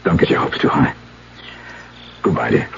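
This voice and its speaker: An actor playing a determined, impatient scientist in love, in an old-time radio drama. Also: male voice, normal speed, lightly acted. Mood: cold, wary. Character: determined, impatient male rat researcher in love. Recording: muffled, noisy old radio broadcast.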